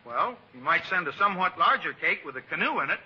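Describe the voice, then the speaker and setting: A man's voice: on the high side, sing-song, quick pace. A man playing a tactless, morbidly cheerful family friend with genteel manners in 1940s radio comedy. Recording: very noisy, muffled old radio recording.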